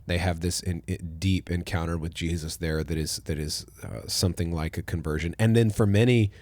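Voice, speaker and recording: A man, a pastor, hosting a casual conversational podcast, relaxed and thoughtful, with his phrases often trailing off softly. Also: low-pitched, fairly quick, dry recording.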